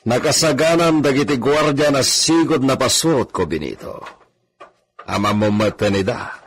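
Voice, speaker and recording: A man acting an authoritative, wealthy hacienda master in a radio drama, with a raspy voice. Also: medium pitch, moderate pace. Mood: resolute.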